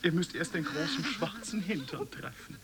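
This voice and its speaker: A man's voice: high voice, very animated, normal speed, a crowd in the background. A man playing a declaiming teller of bawdy myth in a literary radio drama. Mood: mock-solemn. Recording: noisy ambience.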